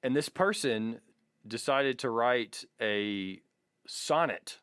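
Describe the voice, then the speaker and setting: A man's voice: medium pitch, lively intonation, slow, a bit bright, clean tone, dry recording, clean studio sound. A man co-hosting a podcast in casual, joking, sweary chat, reading out listener comments. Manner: profane, joking. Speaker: podcast co-host.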